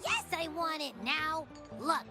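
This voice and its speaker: A woman's voice: sits high, huge pitch swings, slow pace, music in the background, exaggerated voice. A woman voicing a small, childlike, cheeky and dramatic hungry creature in a video game. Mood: pleading, demanding. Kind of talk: video game character acting.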